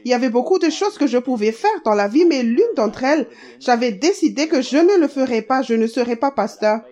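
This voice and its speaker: Woman doing a calm dub voice-over, slightly high voice, even and steady. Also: a little fast. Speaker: voice-over translator. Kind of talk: read French voice-over of a testimony video.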